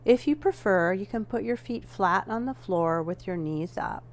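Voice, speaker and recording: A woman leading a guided relaxation as a yoga nidra instructor, giving gentle, measured instructions with deliberate pauses. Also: medium pitch, expressive.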